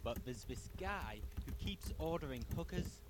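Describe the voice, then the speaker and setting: A man's voice: on the high side, animated, measured pace, very noisy. A young man, chatting casually at home as a podcast co-host, wry and sweary in his storytelling. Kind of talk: casual chat.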